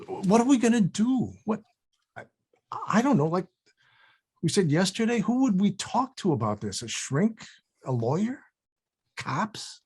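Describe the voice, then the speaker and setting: A man's voice: sits high, very animated, a little slow, pauses often, darkish tone, slightly rough, full character voice. An actor at a table read playing a wry, wary older male actor with a dry, hesitant delivery. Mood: anxious.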